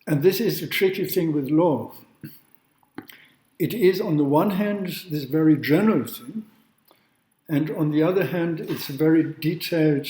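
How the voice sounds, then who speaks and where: A man from Germany, a law professor giving a university lecture in a halting way, with restarts and throat clearing. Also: on the high side, darkish tone. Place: large classroom. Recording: echoey room.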